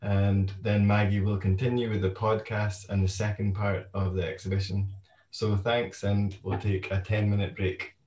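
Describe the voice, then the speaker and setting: A man hosting an online event, making practical announcements in a relaxed way. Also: fairly low pitch, moderate pace. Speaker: event host. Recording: roomy sound, far from the mic.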